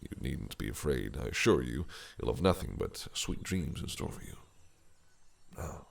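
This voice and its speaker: A man's voice: a bass voice, lively intonation, moderate pace, vocal fry, heavily acted. A man voicing a possessive, cunning fae prince in an audio roleplay, speaking in a silky, teasing, menacing way. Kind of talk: listener-directed audio roleplay.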